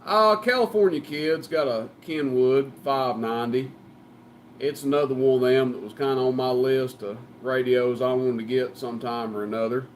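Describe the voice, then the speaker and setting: A man's voice: mid-range voice, moderate pace, slight background noise. A man with a Southern US accent chatting casually as a CB radio operator, folksy and joking. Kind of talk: casual banter over CB radio.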